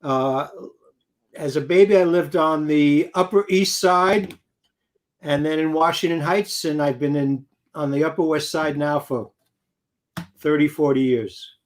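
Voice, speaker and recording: A man's voice: on the high side, a little slow. An older man from New York City, a guest musician telling his story in a rambling, anecdotal way with many fillers. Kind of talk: casual interview chat.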